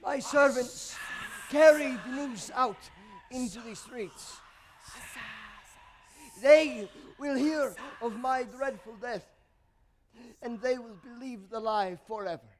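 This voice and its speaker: A man's voice: very high-pitched, slow pace, long pauses, slight background noise, low-quality recording. A man on a theatre stage playing a bitter, tormented old composer, declaiming grandly in a monologue. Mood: bitter resolve. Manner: declamatory, swelling from hushed to shouted. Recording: live theatre stage, music and audience behind.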